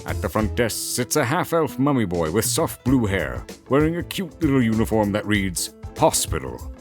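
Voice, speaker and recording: A man's voice: lowish voice, very animated, normal speed. A man narrating a scripted audio fantasy story in a dramatic storytelling style. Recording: music bed under the voice.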